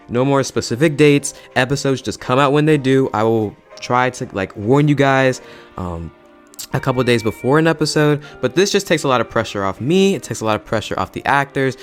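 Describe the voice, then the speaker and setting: A young man hosting a casual video review in an enthusiastic, slangy, emphatic way. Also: medium pitch. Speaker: YouTube host and film reviewer.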